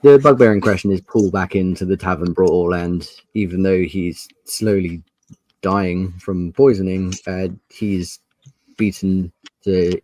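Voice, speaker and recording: A man running a tabletop roleplaying game, narrating scenes in a measured, descriptive and polite way. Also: low voice, darkish tone, no room sound. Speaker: game master.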